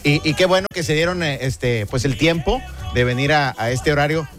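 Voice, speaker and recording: A man's voice: a little high, moderate pace, a bit bright, soft background music. A man co-hosting a radio show, speaking hesitantly with many restarts. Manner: hesitant, full of restarts and fillers. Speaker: radio co-host. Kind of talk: casual radio chat.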